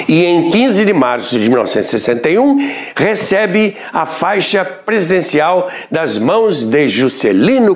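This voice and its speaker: Male documentary narrator, storytelling delivery, raised pitch, very animated intonation. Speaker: radio history narrator.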